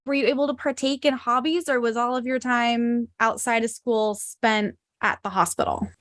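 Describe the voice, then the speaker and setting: A woman hosting a podcast interview in a relaxed way, asking questions and sharing her own stories. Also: a little high, normal speed, no room sound, clean studio sound. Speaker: podcast host. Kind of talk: casual interview.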